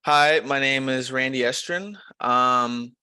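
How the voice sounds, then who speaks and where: A young man from Alaska with a bright, crisp, clean voice, recorded very dry. He keeps things brief and laid-back, pausing as he introduces himself on a video call. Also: mid-range voice, no room sound. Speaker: student panelist.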